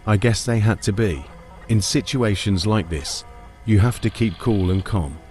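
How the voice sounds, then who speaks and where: Lowish-voiced man narrating a dramatised audiobook. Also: a little slow, a little mellow, soft background music. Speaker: narrator and lead character.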